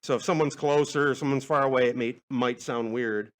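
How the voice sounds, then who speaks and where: A man's voice: mid-range voice, fairly even intonation, moderate pace. A man hosting a podcast in casual, rambling chat, loose and informal, thinking aloud as he goes. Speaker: podcast host and amateur musician. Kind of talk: casual rambling chat between live songs. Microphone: podcast mic being moved around in a group music session.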